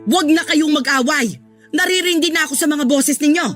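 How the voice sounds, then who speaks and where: High-pitched, pleading Filipino mother. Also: a bit bright, soft background music. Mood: exasperated. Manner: drawn-out, pleading, clinging. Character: tearful, pleading mother and grandmother of grown children. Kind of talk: Filipino radio drama acting.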